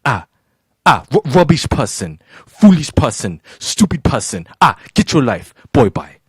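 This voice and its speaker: A man's voice: medium pitch, big pitch swings, unhurried, breathy edge, dry recording, studio quality, heavily acted. A man putting on a Nigerian accent to play an indignant middle-aged Nigerian man who scolds a rival fan, stammering and repeating words in heated bursts. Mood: threatening. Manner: scolding, threatening, mock outrage. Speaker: podcast co-host.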